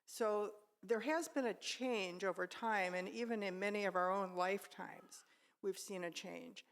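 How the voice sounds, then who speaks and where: Woman, older, participant in a church class, offering a thoughtful, unscripted comment at natural mid pitch. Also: measured pace.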